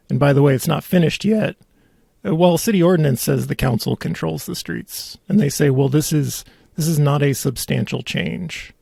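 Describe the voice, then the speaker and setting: A man's voice: on the high side, vocal fry, darkish tone, slightly rough, dry recording, clean studio sound. A man hosting a local politics show, delivering indignant, opinionated commentary with emphatic repetition. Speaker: podcast host.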